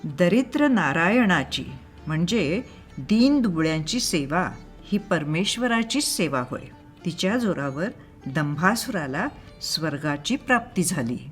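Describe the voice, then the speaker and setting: A woman narrating a scripted moral story in a measured, expressive storytelling manner. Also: lowish voice, soft background music. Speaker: storyteller and channel host.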